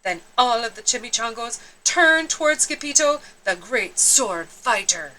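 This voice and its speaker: A woman's voice: on the high side, animated, measured pace, bright, forceful tone, slight background noise, rough recording. A woman narrating a children's storybook expressively, with theatrical, dramatic emphasis.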